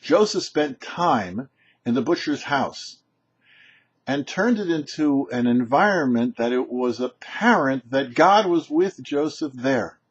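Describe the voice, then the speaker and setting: Husky man teaching scripture, lively pitch. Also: takes it slowly. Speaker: rabbi presenting a weekly Torah portion show. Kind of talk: short religious teaching, read to camera or mic. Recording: dull, muffled lo-fi sound.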